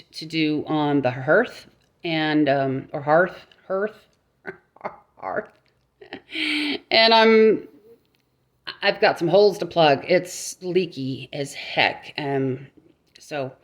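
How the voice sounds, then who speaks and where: Middle-aged woman vlogging, dry mouth, kinda low voice, rambles and jokes at herself. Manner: rambling, self-mocking, with frequent restarts and laughs. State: dry mouth, struggling to talk. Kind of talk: casual vlog monologue.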